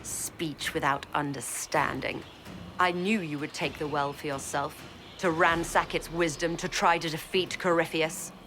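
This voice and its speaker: A slow, imperious villainess, low-voiced. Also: female voice, steady tone, over music. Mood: disdainful. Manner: imperious, deliberate, disdainful. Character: cold, proud female zealot commander, a villain. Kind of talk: dramatic video game acting.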